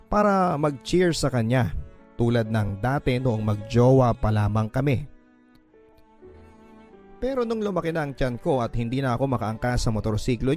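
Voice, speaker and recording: Male Filipino narrator for scripted radio drama letters, medium pitch, music bed underneath. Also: a little mellow. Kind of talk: scripted first-person story narration. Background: background music.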